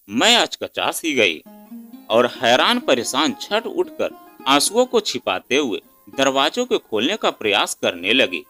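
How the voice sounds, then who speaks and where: A male storyteller reads a dramatic moral tale with great emotion. His voice is bright and forceful, swinging very widely in pitch. Soft instrumental music plays underneath. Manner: emotive, reads a woman's first-person account. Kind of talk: dramatic scripted Hindi moral story narration.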